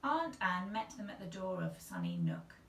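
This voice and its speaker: A woman storyteller reading to children with a noticeably creaky voice at medium pitch. She is some way from the microphone in a small, echoey room. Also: wildly swooping pitch, normal speed, far from the mic, a little hiss or hum. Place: small tiled-looking bathroom indoors. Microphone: camera a couple of metres away.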